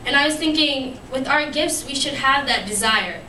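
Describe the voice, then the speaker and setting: A woman's voice: high voice, moderate pace, pushed and bright. A young woman giving an earnest church devotional to a fellowship, with many ums and restarts, her voice still worn from having lost it. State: recovering from a lost voice. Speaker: youth speaker at church fellowship. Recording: echoey room, distant mic, noisy.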